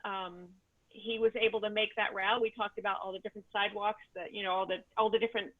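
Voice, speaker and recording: A woman's voice: mid-range voice, little pitch movement, fast talker. A woman from Texas, a mobility instructor, telling a chatty story with many fillers over a video call. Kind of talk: casual anecdote on video call. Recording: tinny phone-like line.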